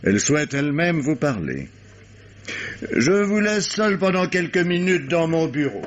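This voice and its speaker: A calm probing judge. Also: male voice, a little high, slight background noise. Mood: measured. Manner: measured, dry and probing. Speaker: investigating judge. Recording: dull lo-fi old radio broadcast.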